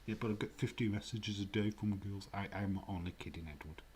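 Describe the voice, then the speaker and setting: A man's voice: on the low side, brisk, a little mellow, slightly rough. A man with a Yorkshire accent hosting a live stream, chatting casually and teasing his chat, laughing often and sometimes dropping to a near whisper. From Yorkshire, England.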